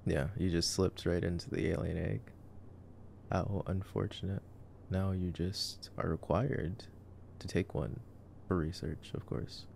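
Young male, deep and heavily creaky, for sarcastic ASMR boyfriend movie commentary; slangy, understated. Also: fairly even intonation, unhurried, a little mellow, slight background noise. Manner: sarcastic, slangy, low-key. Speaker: ASMR roleplay performer.

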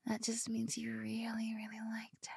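A woman's voice: a little high, monotone, normal speed, creaky, darkish tone, breathy edge, no room sound. A young woman whispering close to the mic in an ASMR roleplay, giggly and affectionate. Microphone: close binaural mic.

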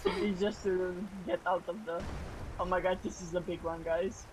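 A woman's voice: lowish voice, fairly even intonation, normal speed, a crowd in the background, rough recording. A woman laughing and joking in casual group voice chat while gaming. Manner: laughing, playful. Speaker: gamer in voice chat.

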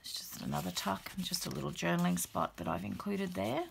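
Croaky, gravelly woman showing a handmade journal. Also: fairly low pitch, brisk, noisy background. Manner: relaxed, many "um" fillers, soft and chatty. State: croaky after the flu. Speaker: craft channel host. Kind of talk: casual show-and-tell of a handmade journal. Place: indoors at a craft table. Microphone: overhead camera, hands only.

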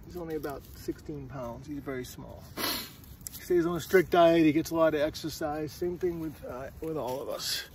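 A middle-aged man with a fairly high, gravelly voice, rambling casually as he walks a forest path. He is a little out of breath and keeps checking in with "all right?" There is faint wind noise on the phone recording. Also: normal speed, a little mellow. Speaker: vlogger. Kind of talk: casual walking vlog. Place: windy forest trail outdoors. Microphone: phone at arm's length.

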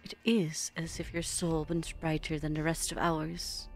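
A woman's voice: low voice, little pitch movement, a little slow, faint music behind, voice pushed down. A woman voicing a dreamy, poetic scorpion-woman seer who speaks in lyrical fragments.

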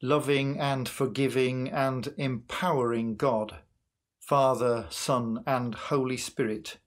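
A man in his sixties leading worship as a minister, reading a sermon and prayers in an earnest, measured way with deliberate pauses. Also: medium pitch, fairly even intonation. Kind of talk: sermon and prayer, read from a script. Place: small curtained room at home, indoors.

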